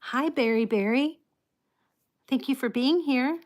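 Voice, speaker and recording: A woman from the United States talks casually to her live stream viewers. Her voice sits a bit high and she speaks slowly, with pauses and restarts. Her articulation is slightly impeded, giving her words a careful, halting feel. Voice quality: slightly impeded articulation from a false tooth and past stroke. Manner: halting, unhurried, greeting viewers by name.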